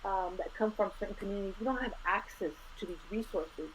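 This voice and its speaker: A middle-aged woman from Los Angeles, a school administrator, speaking as an interview guest in an earnest, measured way, dropping in Spanish words. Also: medium pitch. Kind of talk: conversational interview. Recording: slightly dull lo-fi audio with faint noise.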